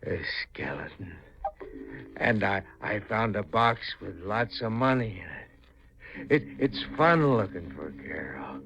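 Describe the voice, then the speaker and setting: An actor playing a slow-witted, childlike strong man in a 1950s radio mystery, with halting, stammering, simple speech that is eager yet menacing. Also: on the low side, lively intonation, a bit bright, exaggerated voice. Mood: secretive, eager. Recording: muffled, noisy 1950s radio recording.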